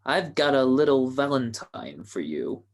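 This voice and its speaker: A man's voice: mid-range voice, very animated, unhurried. A menacing henchman acted out by a man in a 1940s-style amateur radio drama. He takes his time over each line, with sardonic, casual threat. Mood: veiled menace.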